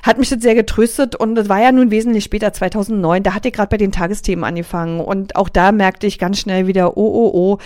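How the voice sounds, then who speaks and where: A middle-aged woman from East Berlin with an East Berlin accent, telling stories as a radio guest, lively, with many fillers and restarts. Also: mid-range voice, quick pace, few pauses, no room sound, clean studio sound. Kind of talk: casual radio interview.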